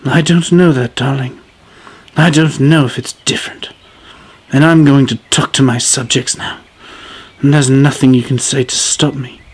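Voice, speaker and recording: A narrator voicing a pompous, self-assured king who speaks in a calm, grand, drawling way. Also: medium pitch, normal speed, breathy edge. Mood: smug.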